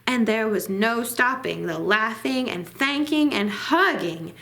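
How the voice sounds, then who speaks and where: Slow, expressive woman reading a storybook. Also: a little high, creaky. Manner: deliberate, dramatic phrasing. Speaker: library storytime reader. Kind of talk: expressive children's picture book reading.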